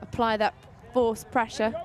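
A woman giving relaxed, playful live play-by-play commentary at an outdoor tournament. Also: a little high, measured pace, crowd noise.

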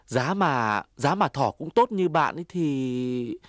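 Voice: medium pitch, animated, slow, no room sound, clean studio sound. An actor playing a sly, deceitful cartoon weasel who wheedles like a friend and boasts like a crook. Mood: wheedling, insinuating. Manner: wheedling, swings into boastful cackling. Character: sly deceitful weasel, fake-friendly, gloating when triumphant. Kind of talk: Vietnamese children's cartoon acting.

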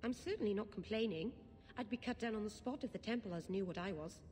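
An actress playing a naive, scatterbrained young elf mage in a fantasy game, chatty and earnest. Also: female voice, moderate pace, darkish tone, lightly acted. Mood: wistful, earnest. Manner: wide-eyed, chatty, earnest. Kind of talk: fantasy video game dialogue. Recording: game audio with long reverb, background rumble.

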